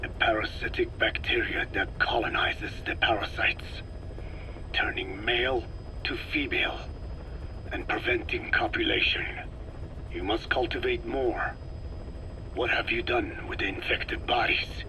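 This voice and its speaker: An actor playing a grave, cryptic old scientist in a video game, with a breathy, raspy voice and formal, deliberate phrasing. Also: male voice, medium pitch, steady tone, bright, forceful tone. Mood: grave, commanding. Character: frail, ancient scientist, grave and cryptic elder. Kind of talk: dramatic video game voice acting, expository. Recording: clearly noisy taped field recording.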